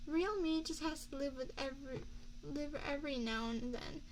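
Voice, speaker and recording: A woman playing an affectionate young mafia boss girlfriend in an ASMR roleplay, speaking in a soft, confiding, measured way close to a binaural microphone. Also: high-pitched, slight background noise, close to an everyday voice. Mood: wistful. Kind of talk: ASMR girlfriend roleplay, scripted intimate monologue.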